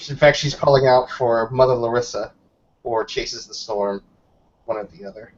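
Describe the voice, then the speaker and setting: A man's voice: mid-range voice, moderate pace. A man playing a tabletop roleplaying game, chatting casually and swearing freely as a player. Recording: dull, lo-fi voice recording.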